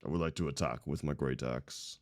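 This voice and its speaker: A man's voice: very deep voice, fairly quick. A man playing a tabletop game, calling his moves casually in short phrases. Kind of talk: casual, terse game talk.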